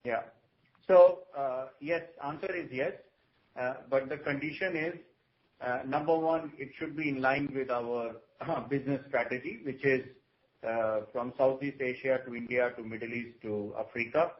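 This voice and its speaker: Halting male executive on a dull call line. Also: medium pitch. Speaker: company executive on an earnings call. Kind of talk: answering questions point by point. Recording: dull, lo-fi conference call audio.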